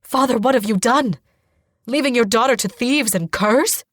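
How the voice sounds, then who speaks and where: A woman plays a defiant young warrior prince in an audiobook, hot-blooded and protective. His speech is urgent and forceful, pitched high and recorded dry. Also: expressive, quick pace, dry recording. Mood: outraged.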